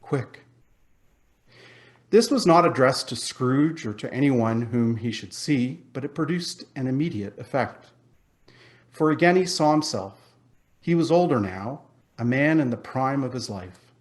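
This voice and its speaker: A man reading a story aloud as narrator, in a measured, expressive and dramatic storytelling style. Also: mid-range voice. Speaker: reader, narrator.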